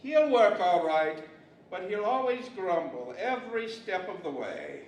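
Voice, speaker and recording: An echoey, distant stage recording of an older man as a pompous djinn who rules the deserts. He speaks high and slowly, scolding like an imperious boss in old-fashioned radio theater. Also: sing-song. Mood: wry. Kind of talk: 1940s-style radio theater acting. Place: large library event room, live stage. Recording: distant, echoey live hall with faint noise.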